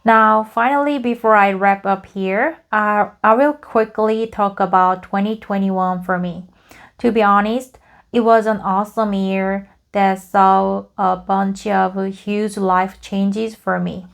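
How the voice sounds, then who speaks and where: A woman's voice: mid-range voice, fairly even intonation, slow pace, vocal fry. A woman with a Korean accent, an English learner reading a podcast script aloud for practice, carefully restarting and repeating phrases.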